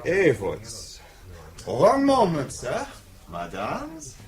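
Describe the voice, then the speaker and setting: An actor playing a courteous, formal hotel receptionist in an audio drama, deferential and ceremonious. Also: male voice, fairly high pitch, huge pitch swings, very slow, crowd noise, heavily acted. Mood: polite. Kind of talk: spy radio drama acting.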